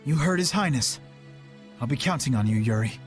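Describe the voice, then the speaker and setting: A man's voice: mid-range voice, lively intonation, fairly quick, soft background music, natural voice. A man voicing a dutiful, serious young knight in a video game, formal and earnest. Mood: resolute.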